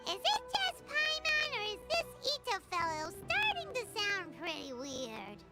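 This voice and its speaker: A woman voicing a tiny, childlike, cheerful and nosy fairy companion in a video game, with a squeaky voice. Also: huge pitch swings, measured pace, exaggerated voice. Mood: put off. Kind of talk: animated video game voice acting. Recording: game music behind the voice.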